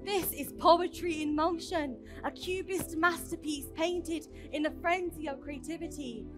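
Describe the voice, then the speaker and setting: Woman acting a high-voiced, fierce squash champion. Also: steady tone, unhurried, over music. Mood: intense, poetic. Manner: declamatory, dramatic. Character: proud, fierce young female squash champion from Pakistan. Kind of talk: theatrical stage monologue, poetic.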